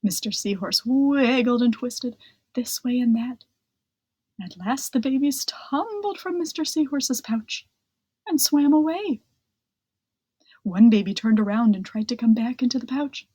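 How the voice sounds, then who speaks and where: A woman narrates a children's storybook in a fairly high, husky voice. She reads gently and expressively, stretching words for suspense. The sound is close and dry. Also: expressive, unhurried, no echo. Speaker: children's book reader.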